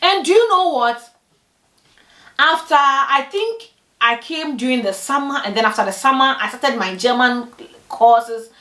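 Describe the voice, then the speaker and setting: A young woman from Ghana telling personal stories to camera in a lively way, acting out what other people said. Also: unhurried. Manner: animated, acts out quoted people with big pitch jumps. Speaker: vlogger. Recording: echoey room, voice from a distance.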